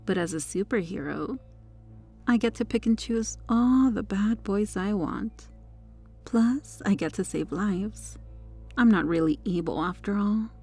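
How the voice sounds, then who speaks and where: A woman's voice: medium pitch, a little slow, a little mellow, slightly rough, faint music behind. A woman playing a corrupted, possessive superheroine in an ASMR roleplay, flirtatious, teasing and condescending toward the listener. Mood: possessive seductive.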